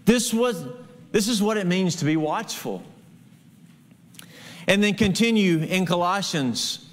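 An American man in his thirties preaching an expository sermon as a pastor, earnest and emphatic, with pauses and rhetorical questions. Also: high-pitched. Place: church hall with hard floor, small congregation. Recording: reverberant hall sound.

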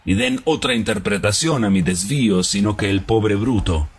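A man playing Brutus, a troubled noble Roman, speaking in a grave, brooding, measured theatrical recitation. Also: fairly low pitch, slightly rough. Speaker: actor performing a stage monologue. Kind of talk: theatrical radio-drama recitation.

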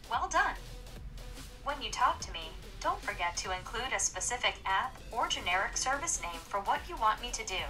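A synthetic woman's voice, pitched a little high, reads friendly scripted assistant prompts in an even delivery. Clear background music plays under her. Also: moderate pace. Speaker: phone voice assistant.

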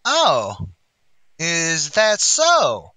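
A man plays a bluffing, boastful tavern manager in old-time radio comedy style. His voice is high and very sharp, swooping through folksy, mangled-grammar patter. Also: huge pitch swings, drawn-out words, no room sound, full character voice. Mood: scheming. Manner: folksy, ungrammatical, bluffing wise-guy delivery. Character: blustering, boastful, uneducated tavern manager uncle.

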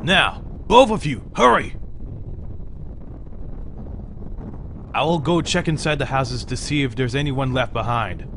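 A man's voice: mid-range voice, lively intonation, normal speed, creaky, a bit bright, a little hiss or hum. A man playing an earnest, protective village doctor in a game fan dub, speaking formally and with urgency. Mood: commanding. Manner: formal, precise wording, urgent.

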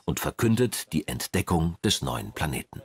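A man's voice: sits low, very animated, moderate pace, gravelly, husky. A man reading scripted narration for a documentary.

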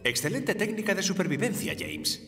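A hearty, blundering cartoon woodsman, cheerful and booming. His pitch swings wildly and sits on the high side. The sound is distant, with music behind it. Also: wildly swooping pitch, normal speed. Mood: alarmed, confident. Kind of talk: animated children's cartoon dub. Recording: distant, echoey cave sound under music.

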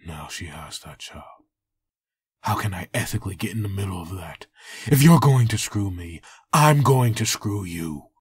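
A man playing an anguished, vengeful undead antihero in a comic dub, gravelly and husky, bitter and dramatic. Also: sits low, animated, normal speed, no echo, clean studio sound, exaggerated voice.

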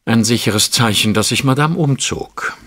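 A man's voice: mid-range voice, moderate pace, fairly bright tone, close to an everyday voice. An actor playing a refined, self-assured Victorian detective, narrating his case in an audio drama with dry, formal, precise wit. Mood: intrigued. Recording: faint music under the voice. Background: music.

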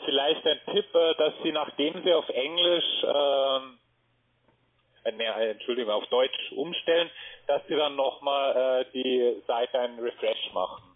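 A man's voice: medium pitch, unhurried. A man working as a call center agent, polite and hesitant, full of fillers as he repeats details back. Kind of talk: phone call. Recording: phone-line audio.

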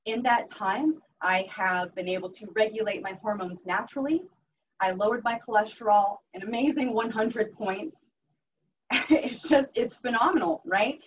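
A woman's voice: medium pitch, normal speed. An upbeat woman in her thirties with a Western Pennsylvania accent, teaching a cooking class and talking with ums and restarts. She sounds a little far from the mic. The recording is dull and has a faint hiss under it. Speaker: health coach doing a cooking demo. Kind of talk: live cooking class talk.

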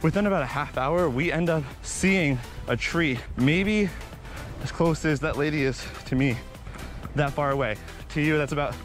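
A young man vlogging outdoors on a beach, telling animated stories while running, slightly out of breath, into a body-mounted camera. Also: fairly high pitch. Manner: animated, excitable storytelling with sound effects. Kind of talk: casual storytelling while jogging. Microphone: body-mounted action camera.

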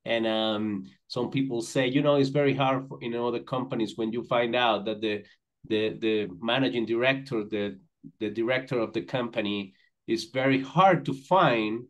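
A man's voice: mid-range voice, moderate pace. Man talking on a video call. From Venezuela. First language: Spanish. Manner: friendly, rambling with restarts and fillers. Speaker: company managing director, guest. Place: indoors with virtual backdrop. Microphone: video call, computer mic.

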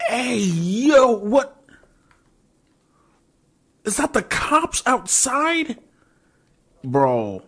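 A young man giving casual gaming reactions and commentary in an informal, expressive, slangy way, close on a desk microphone. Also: extremely high pitch, extremely slow, creaky, pauses often, slightly rough. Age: young adult. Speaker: gaming YouTuber. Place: small room at home, indoors. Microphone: close desk microphone with pop filter.

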